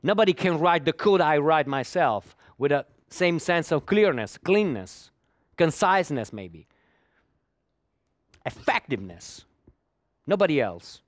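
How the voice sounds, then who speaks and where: Guy talking at a dev conference, bit high voice, keeps repeating words, dry sound. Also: big pitch swings, measured pace, pauses often, dry recording. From Italy. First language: Italian. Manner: repeats words while searching for them, with small laughs. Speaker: conference speaker. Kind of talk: technical conference talk.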